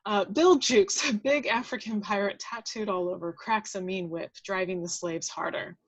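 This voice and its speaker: A woman's voice: medium pitch, fairly even intonation, normal speed, husky. A woman reading scripted action descriptions aloud at a table read, now and then stumbling and correcting herself.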